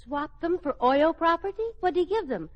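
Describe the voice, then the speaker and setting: An actress playing a wry, nosy housewife, arch and teasing with mock-innocent questions, in old-time radio comedy style. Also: female voice, very high voice, animated, moderate pace. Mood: probing. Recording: noisy, muffled old radio recording.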